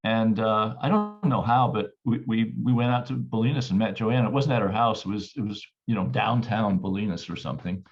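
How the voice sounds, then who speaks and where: A man telling a friendly, halting story about meeting someone decades ago. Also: on the low side. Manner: friendly, halting, repeats words. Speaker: audience member recalling a memory. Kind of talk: casual reminiscence. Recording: dull lo-fi audio.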